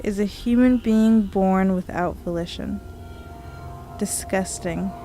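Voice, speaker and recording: Casting: actress as a cold, refined killer-artist, slow and mellow, faint music under. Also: female voice, mid-range voice, lightly acted, low-quality recording. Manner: slow, disdainful, lecturing.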